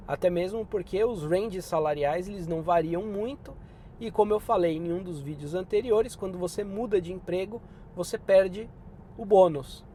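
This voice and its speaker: A Brazilian man with a Brazilian Portuguese accent giving job-hunting tips in a relaxed, chatty advice vlog. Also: high-pitched, a little hiss or hum. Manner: relaxed and chatty, often drops in "cara" and "né".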